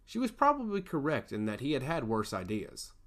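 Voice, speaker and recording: A man's voice: mid-range voice, huge pitch swings, fairly quick. A man narrating a scripted fantasy story in a dramatic, emphatic way.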